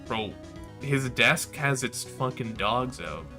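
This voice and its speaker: A man's voice: medium pitch, animated, slow pace, music in the background. A man giving casual, slangy gaming livestream commentary, swearing and chatting to his viewers. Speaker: live streamer.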